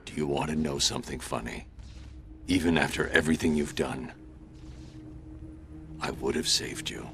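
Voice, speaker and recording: A man voicing a grim, stoic masked vigilante in a video game, gruff and grave. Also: moderate pace, long pauses, noisy background. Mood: somber. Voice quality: deep, gruff. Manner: grave, deadpan, restrained.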